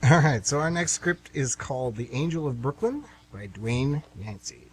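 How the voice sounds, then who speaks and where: A man's voice: medium pitch, big pitch swings, normal speed, slight background noise, poor audio. A man reading scripted stage directions as narrator and announcer of amateur radio plays.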